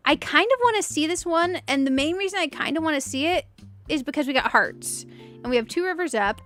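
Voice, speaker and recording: A woman in her late thirties with a high voice that sounds younger than her age. She rambles in a chatty, giggly way, as a streamer talking to viewers during a game. Music plays behind her. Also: lively intonation, fairly quick, a bit bright. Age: late 30s. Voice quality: youthful-sounding voice. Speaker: streamer and video game host. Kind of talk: casual livestream chat over video game play.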